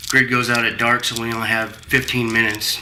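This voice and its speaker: A man's voice: mid-range voice, little pitch movement, a little fast, background noise, natural voice. A man acting a scheming, bitter heir in a radio drama, brooding and muttering to himself. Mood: urgent. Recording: music behind the voice.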